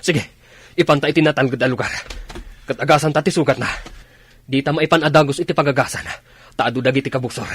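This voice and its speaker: A man's voice: mid-range voice, a little fast, pauses often, breathy edge, natural voice. An actor playing a grave, firm army officer concerned for his men in an Ilocano radio drama.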